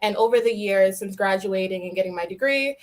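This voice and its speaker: A woman's voice: mid-range voice, a little fast. A young woman from New York City, a podcast guest explaining her work with light laughs. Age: young adult. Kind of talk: podcast interview.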